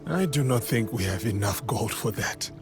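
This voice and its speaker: A man playing a solemn, gentle warrior in a historical game drama, speaking earnestly and in a measured way. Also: mid-range voice, expressive, music in the background, lightly acted. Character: solemn, gentle, dutiful male warrior in feudal Japan. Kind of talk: restrained dramatic game cutscene acting.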